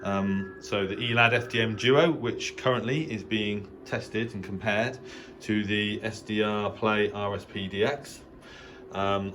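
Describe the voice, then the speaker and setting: A middle-aged man with an English accent speaks in a very low register. He talks off the cuff like a hobbyist giving a tour, understated and rambling, with frequent hesitations. The home recording has clearly audible background noise. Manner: hesitant, understated, rambling delivery with trailing asides. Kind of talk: casual unscripted video tour. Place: indoor home radio shack.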